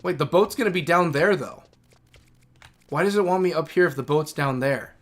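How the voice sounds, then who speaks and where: A man's voice: a little high, normal speed. A man in his thirties streaming a game, thinking aloud in a rambling, chatty way. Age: 30s. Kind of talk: casual livestream chat.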